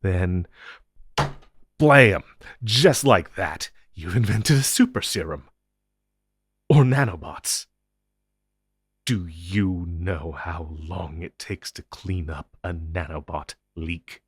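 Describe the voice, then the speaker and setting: A man playing an inhuman monster interrogator in an intense audio roleplay, taunting and condescending, with deliberate theatrical pauses. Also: sits low, slightly rough, no room sound, heavily acted. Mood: sardonic, confident. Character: menacing male monster interrogator, inhuman, smug and possessive.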